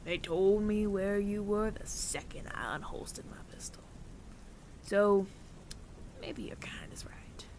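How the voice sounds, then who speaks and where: A man playing a weary older android bounty hunter with reluctant mercy in an intimate audio roleplay, breathy and often near-whispered, deliberate and wry. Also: sits high, darkish tone. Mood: cold, wry.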